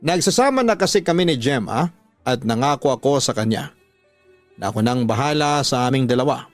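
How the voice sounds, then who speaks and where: A man narrating a first-person radio drama story in an earnest, measured storytelling manner. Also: medium pitch, lively intonation, dry recording. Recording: dry voice over music bed.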